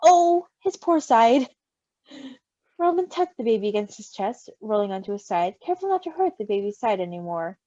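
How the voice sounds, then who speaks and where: A woman with a fairly high, lively voice reads a story aloud and drops in gushing asides. Her delivery is very expressive and animated. The recording is close and dry but a little dull and lo-fi. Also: normal speed, dry recording. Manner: expressive, gushing, giggly reactions. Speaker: story reader. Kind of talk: animated read-aloud of fan fiction with casual asides.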